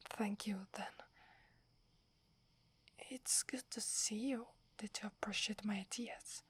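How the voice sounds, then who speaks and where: A woman with an Italian accent playing a submissive, earnest female werewolf in a soft, breathy, hesitant ASMR whisper. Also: medium pitch, fairly even intonation. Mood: deferential. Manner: hesitant, respectful, measured.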